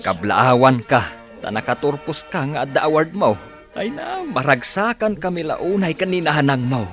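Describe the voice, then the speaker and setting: A man's voice: fairly high pitch, very animated, moderate pace, fairly bright tone. Guy playing a friendly filipino carpenter dad in a radio drama, really swingy intonation, faint music. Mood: warm. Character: obliging, good-natured village carpenter and father.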